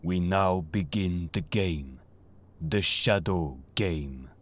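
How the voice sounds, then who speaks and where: A man's voice: low voice, background noise. A man playing a solemn, mysterious servant of a death god in an audio drama, speaking formally and ominously. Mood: cold judgment. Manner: slow, ominous, formal delivery.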